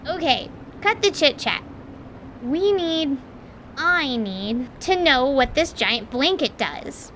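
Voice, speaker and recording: An impatient, demanding adventurer woman voiced by a woman speaks in a very high, slow voice. Her phrases are blunt and insistent, in fantasy radio theatre over faint background ambience. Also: animated, fairly bright tone, a little hiss or hum, heavily acted.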